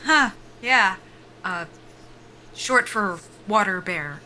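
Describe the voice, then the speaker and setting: A woman's voice: medium pitch, animated, very slow, bright, forceful tone, slight background noise, full character voice. A woman acting a nervy, foul-mouthed young soldier in a sci-fi audio drama, wry and defiant in a casual, joking way. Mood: nervous, joking. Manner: sardonic, casual, sweary, joking under nerves.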